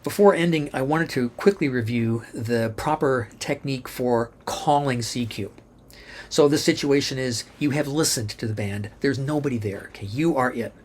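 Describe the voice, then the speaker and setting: A man's voice: mid-range voice, moderate pace, slight background noise. A man narrating an instructional tutorial, explaining methodically step by step and spelling out letters one at a time. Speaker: instructor and presenter.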